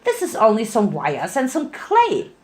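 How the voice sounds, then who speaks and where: A woman voicing a bouncy, silly young female pony secret agent, chatty and excitable, in a dramatic story reading. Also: on the high side, unhurried. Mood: sheepish, playful. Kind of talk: dramatic fan fiction reading.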